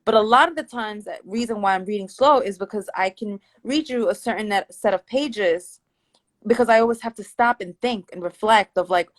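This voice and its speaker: A woman's voice: mid-range voice, normal speed. A woman therapist whose first language is not English, talking through her thoughts in a reflective, searching way on a casual live stream. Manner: reflective, thinking aloud with many "like" fillers and restarts. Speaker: therapist guest.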